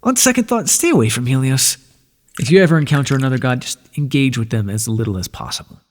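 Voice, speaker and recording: A man voicing an ancient god of the underworld in an ASMR roleplay, speaking in a soft, breathy, measured way and reassuring the listener tenderly. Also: mid-range voice, animated, long reverb tail, lightly acted. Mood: gentle, soothing.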